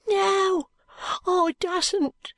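A woman voicing a frightened, ragged London street boy in an audiobook, with a Cockney accent and hushed, halting, uneducated speech. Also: very high-pitched, fairly even intonation, no room sound, pitched above the performer's own voice, exaggerated voice. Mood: fearful.

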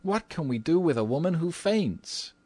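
A man's voice: fairly high pitch, wildly swooping pitch, measured pace, no echo, voice pushed up. A man voicing a fussy, imperious lady of the house in an audiobook, with an exclamatory, indignant manner. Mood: dismayed. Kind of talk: audiobook character voice.

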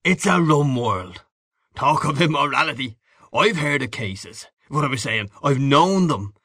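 A man's voice: medium pitch, animated, measured pace, sharp tone, dry recording, clean studio sound, voice pushed up, exaggerated voice. A man voicing a brash, worldly Irishman in an audiobook, boastful and hearty, patronising an old friend with emphatic delivery. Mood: expansive, knowing. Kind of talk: literary audiobook character dialogue.

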